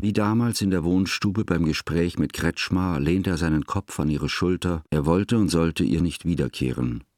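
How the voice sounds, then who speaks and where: A low-voiced, grave narrator. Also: male voice, a little mellow, dry recording, studio quality. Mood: elegiac. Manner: measured, solemn, reflective. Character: male narrator, grave, devoted friend recalling the past. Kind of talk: scripted literary narration.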